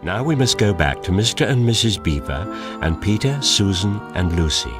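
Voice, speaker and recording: A man's voice: lowish voice, sing-song, normal speed. A man narrating a scripted children's story as a storyteller. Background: music.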